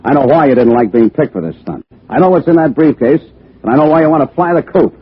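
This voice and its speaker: Casting: hardboiled American schemer, wisecracking and animated, for vintage radio crime drama; narrow, tinny sound. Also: male voice, fairly high pitch, fast talker, husky. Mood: pressing, cocky. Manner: slangy tough-guy wisecracking delivery. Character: cocky, scheming small-time American wise guy, tough and slangy. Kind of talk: 1940s-50s American radio drama acting, hardboiled first-person narration. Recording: tinny band-limited vintage radio recording with music.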